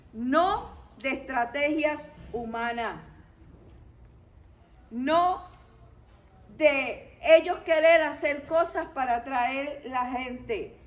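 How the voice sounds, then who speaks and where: A woman from Puerto Rico with a Puerto Rican accent, teaching a Bible study to a church group in a didactic way, stressing key points. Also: high-pitched.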